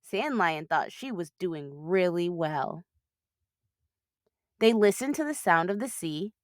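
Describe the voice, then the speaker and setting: Unhurried, animated woman reading to children. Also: on the low side, no room sound, studio quality. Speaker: host of a children's read-aloud show. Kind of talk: expressive storybook narration for children.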